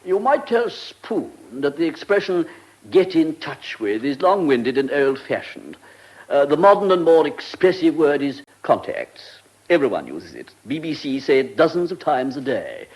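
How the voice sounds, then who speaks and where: A man's voice: fairly high pitch, sing-song, normal speed, darkish tone, husky, low-quality recording. A man playing a pompous, pedantic British government minister in a radio comedy drama, fussy and self-important. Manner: pompous, pedantic, hesitant asides.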